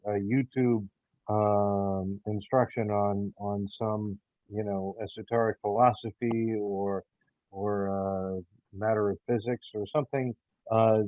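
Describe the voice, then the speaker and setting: A man being interviewed as a writer, talking casually in halting, thoughtful phrases over a narrow, phone-like connection. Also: lowish voice, dry recording. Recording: muffled narrow phone-like line.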